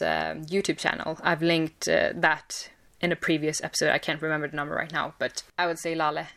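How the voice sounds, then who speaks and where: A young woman with a Swedish accent, answering interview questions casually with many pauses and fillers. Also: low-pitched, fairly bright tone, clean tone. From Sweden. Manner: hesitant, many fillers and restarts. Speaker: podcast guest.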